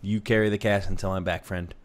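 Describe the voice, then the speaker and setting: A man co-casting a live game match, relaxed and teasing as he sets up the next map. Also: deep voice, brisk, a little hiss or hum. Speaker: esports co-caster. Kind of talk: casual live game commentary.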